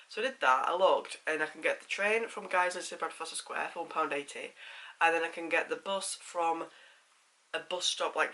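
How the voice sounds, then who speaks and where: A man's voice: on the high side, sharp tone, rough recording. A man with a Yorkshire accent, chatting casually to camera as a vlogger, rambling with lots of fillers, a bit congested with a sore throat.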